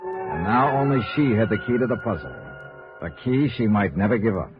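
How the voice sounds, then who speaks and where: A man's voice: lowish voice, animated, normal speed, close to an everyday voice. A male actor playing a cool, hard-boiled insurance investigator in a 1950s radio noir, clipped and matter-of-fact. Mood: brisk reporting. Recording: dull vintage radio recording, music behind.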